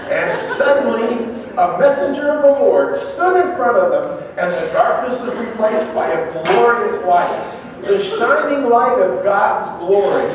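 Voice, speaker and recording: A man's voice: high voice, animated, measured pace, crowd noise. A man narrating a scripture dramatization to a congregation in a church. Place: large church interior. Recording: echoey church hall from far off, faint noise.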